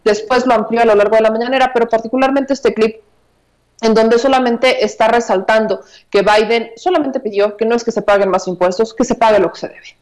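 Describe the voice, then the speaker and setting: A woman's voice: a little high, steady tone, fairly quick, darkish tone, low-quality recording. A woman in her thirties with a Mexican accent giving news commentary from a small room at home, emphatic and conversational, repeating her points. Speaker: political commentator. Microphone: earphone mic.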